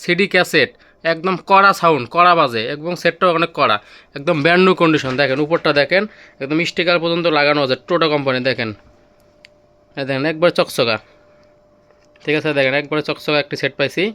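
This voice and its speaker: High-pitched man giving repetitive sales patter. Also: fast talker, sharp tone, smooth. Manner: repetitive sales patter urging viewers to look. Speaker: seller presenting used car stereo gear. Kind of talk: informal sales demonstration video.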